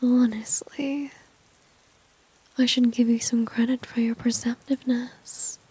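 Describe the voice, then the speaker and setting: A woman plays a dominant villainess in an intimate ASMR roleplay, her voice a very breathy, soft whisper. She speaks slowly and smugly, coaxing and teasing with flirty confidence. The sound is close and dry. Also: on the high side, little pitch movement, no echo, poor audio. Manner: slow, teasing, smug, coaxing.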